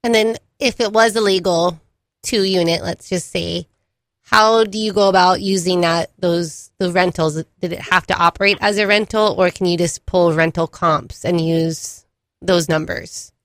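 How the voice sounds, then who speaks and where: A woman's voice: lowish voice, steady tone, moderate pace, no room sound. Woman co-host with a dry, close voice. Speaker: show co-host. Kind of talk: talk show hosting.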